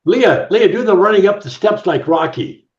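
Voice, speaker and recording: Man on dull, echoey audio, lively intonation. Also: high voice, normal speed. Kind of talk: casual chat. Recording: dull, roomy remote call audio.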